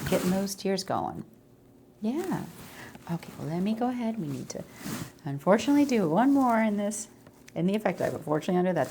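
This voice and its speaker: A woman speaking softly in an ASMR medical roleplay, explaining things in a reassuring, conversational way as an eye doctor. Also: fairly low pitch, vocal fry, slight background noise. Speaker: ASMR creator role-playing an eye doctor.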